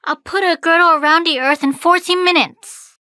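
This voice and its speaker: A woman's voice: very high-pitched, steady tone, normal speed, bright, forceful tone, no echo, studio quality. A woman playing a mischievous, eager female sprite with impish, teasing theatrical delivery. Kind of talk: theatrical stage acting.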